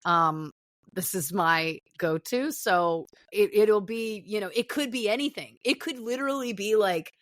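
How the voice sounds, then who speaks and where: A woman's voice: medium pitch, a little slow, no room sound. Chatty middle-aged woman, dry close mic. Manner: chatty, self-deprecating storytelling. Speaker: podcast guest, actor and musician. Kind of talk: casual interview chat.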